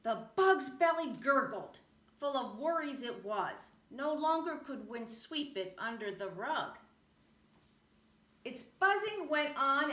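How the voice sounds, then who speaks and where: A woman reading a children's picture book aloud in an expressive, rhythmic way. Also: high voice. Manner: animated, rhythmic rhyming delivery. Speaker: storybook reader. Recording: roomy echo, faint background noise.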